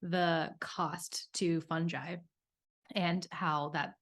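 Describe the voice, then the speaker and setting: A woman's voice: fairly low pitch, fairly even intonation, very slow, fairly bright tone. A woman hosting a podcast, chatting casually and with enthusiasm, her talk full of "like". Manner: casual and enthusiastic, heavy use of "like". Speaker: podcast host.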